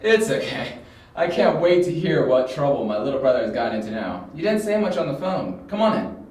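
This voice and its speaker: A man's voice: a little high, very animated, fairly quick, a little mellow. A man acting a teasing but helpful older brother in an amateur audio drama, with a drawling delivery. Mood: welcoming, amused. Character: nosy older brother, teasing yet helpful, named Mike. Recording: very echoey room, distant mic.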